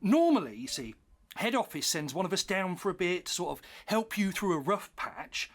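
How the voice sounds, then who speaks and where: An actor playing a cheeky, slightly wounded grown-up imaginary friend, wry and playful, in a comedy audio drama. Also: male voice, sits high, big pitch swings, normal speed. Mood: explanatory, wry.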